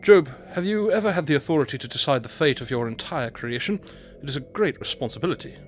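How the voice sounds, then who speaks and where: Man playing a higher-voiced, mysterious divine guide. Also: expressive, close to an everyday voice. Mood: solemn authority. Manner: formal, measured, pronouncing. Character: mysterious, serene, authoritative divine guide from the heavens. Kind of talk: biblical radio drama acting.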